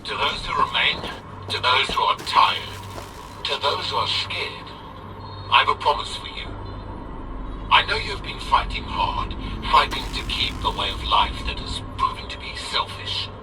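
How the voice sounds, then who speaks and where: An actor playing a devoted cult preacher in a game audio log, giving solemn, persuasive oratory. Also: male voice, mid-range voice, lively intonation, unhurried, close to an everyday voice. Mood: fervent, solemn. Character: fervent male cult preacher devoted to a machine goddess. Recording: distant voice under clear music.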